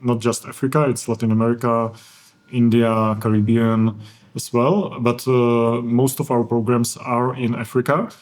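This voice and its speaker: A man speaking English with a Czech accent, in an easy conversational interview at a conference. His pitch sits in the middle range, and he talks with real enthusiasm but keeps stopping to hesitate and search for words. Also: flat delivery, normal speed, a little mellow. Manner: earnest and enthusiastic, rambling with frequent hesitations. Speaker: guest, education program organizer. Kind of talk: casual podcast interview. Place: conference venue.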